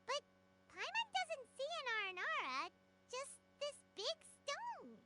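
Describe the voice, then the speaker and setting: A woman voicing a tiny, childlike fairy companion in a video game, squeaky, excitable and bossy. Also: slow pace, very noisy, heavily acted. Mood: eager. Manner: squeaky, childlike, speaks of self in third person. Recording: game music behind the voice.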